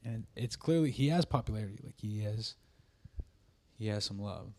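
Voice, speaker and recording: A man's voice: lowish voice, normal speed, warm tone. A man co-hosting a casual music reaction podcast, rambling in a laid-back way and swearing casually. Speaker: podcast co-host.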